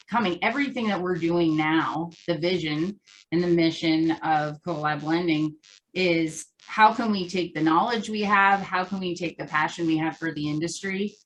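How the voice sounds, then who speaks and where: A woman with a fairly low voice speaks as an interview guest. She explains her company's approach in a conversational, earnest way, often framing points as questions. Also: moderate pace, rough recording.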